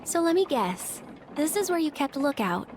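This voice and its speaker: Game role: sensitive, frank young woman, conversational, slightly high voice, faint ambience. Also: big pitch swings, normal speed, darkish tone, a little hiss or hum. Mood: gentle, teasing. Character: candid, sensitive young woman, gently teasing. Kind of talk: video game character acting.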